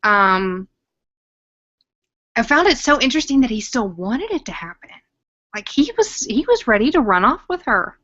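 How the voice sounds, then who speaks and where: Young female co-host, casual recap chat, bright forward voice, gushing and jokey, dull low-fidelity audio. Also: sing-song, moderate pace, long pauses. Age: young adult. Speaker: podcast co-host. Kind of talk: casual chat discussing a TV drama.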